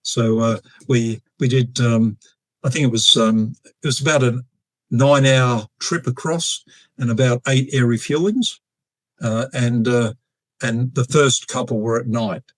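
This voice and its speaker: A man in his sixties with an Australian accent, a guest telling long stories in a casual interview, halting often with fillers and restarts. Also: mid-range voice, no echo, rough recording. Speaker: guest, retired air force officer.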